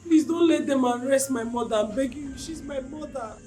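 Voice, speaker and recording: A woman's voice: fairly high pitch, expressive, moderate pace, over music, natural voice. A Nigerian woman playing a distressed wife in a film drama, speaking in an emotional, insistent way. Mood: desperate, pleading. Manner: pleading, repetitive. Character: distressed young wife defending her mother from her husband.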